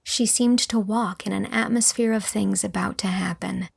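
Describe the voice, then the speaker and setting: Woman narrating a novel, slightly creaky voice. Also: mid-range voice, steady tone, moderate pace, no room sound, clean studio sound. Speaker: audiobook narrator. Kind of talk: scripted literary narration.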